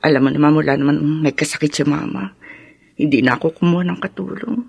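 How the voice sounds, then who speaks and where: Radio drama role: bereaved, well-off Filipina from the countryside, weary and emotional, husky voice, dry studio sound. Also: female voice, sits low, fast, darkish tone, no echo, highs cut off, heavily acted. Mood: heavy-hearted, grateful. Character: grieving, weary woman from a well-off rural family.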